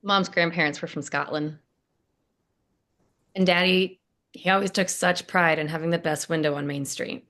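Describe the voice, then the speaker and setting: A woman playing a gentle small-town shopkeeper in a script table read. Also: low-pitched, fairly even intonation, moderate pace, long pauses. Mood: fond.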